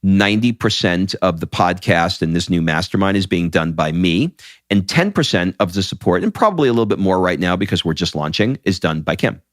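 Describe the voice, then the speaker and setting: A man with a low, deep voice, co-hosting a podcast in casual chat. He tells stories with animated energy and often restarts his sentences. The close mic sounds dry, with no room around him. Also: brisk, no echo. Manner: animated storyteller, frequent restarts. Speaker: podcast co-host.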